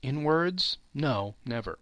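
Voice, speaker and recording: A man voicing a gentle, sorrowful, steadfast young woman with a soft, earnest, measured delivery, reading a storybook. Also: mid-range voice, expressive, no echo. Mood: resigned.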